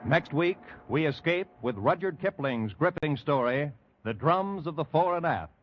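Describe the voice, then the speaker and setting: A man announces a radio program's cast and sign-off in a measured, formal tone. The old broadcast sounds muffled and dull. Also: on the high side, lively intonation, slight background noise.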